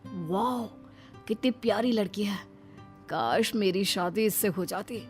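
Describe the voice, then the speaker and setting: A woman's voice: mid-range voice, a little slow, close to an everyday voice. A wealthy young suitor in a cartoon family story, acted by a woman. He is confident, smitten and earnest, speaking in a straightforward courting manner. There is music behind the voice. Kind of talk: Hindi moral-story cartoon dialogue.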